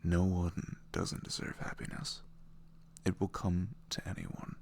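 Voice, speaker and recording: A man speaking softly in ASMR style to his listeners, gentle, hushed and reassuring. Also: low voice, completely flat delivery, unhurried, vocal fry. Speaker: audio roleplay creator. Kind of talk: soft ASMR message to listeners.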